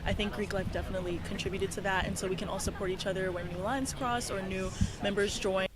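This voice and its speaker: A woman's voice: medium pitch, steady tone, fast, heavy background noise. A young woman from Atlanta, a student speaking confidently and encouragingly in an interview. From Atlanta, USA. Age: young adult. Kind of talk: interview answers.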